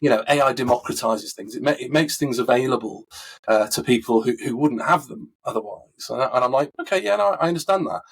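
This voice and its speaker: A man with a husky, recovering voice, answering interview questions in a thoughtful, conversational way with frequent fillers and restarts. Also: mid-range voice, quick pace, slight background noise, poor audio. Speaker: coaching expert guest.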